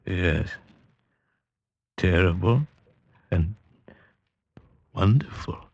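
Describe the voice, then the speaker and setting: A man's voice: low-pitched, animated, extremely slow, vocal fry, darkish tone, no room sound, dull audio, heavily acted. A man acting a haunted, passionate mountaineer in an old radio drama, telling his story in a lyrical, dramatic way. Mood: haunted, weary. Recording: old radio recording, orchestral score behind.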